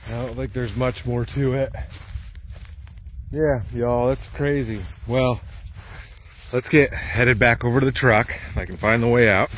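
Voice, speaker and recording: A man's voice: medium pitch, unhurried. A man casually narrating a road-trip vlog from his truck, rambling with lots of fillers. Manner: relaxed, rambling, many fillers. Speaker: vlogger. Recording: loud road and engine noise. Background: vehicle noise.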